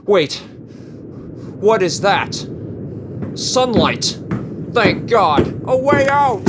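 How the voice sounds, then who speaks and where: A man's voice: high voice, sing-song, very slow, long pauses, heavy background noise, voice pushed up, heavily acted. A man playing a pompous politician who turns desperate, in a melodramatic comic-book horror reading. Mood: panicked. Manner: melodramatic, stammering.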